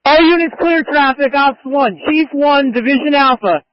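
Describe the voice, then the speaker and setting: Male fire chief, very high urgent voice, clipped commands, muffled scanner radio. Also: normal speed, no room sound. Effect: two-way radio. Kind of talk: urgent fireground radio traffic.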